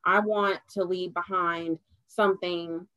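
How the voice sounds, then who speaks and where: A young adult woman, a podcast guest, talking earnestly in a casual chat and turning animated when she laughs. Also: on the low side, slow, no echo, rough recording.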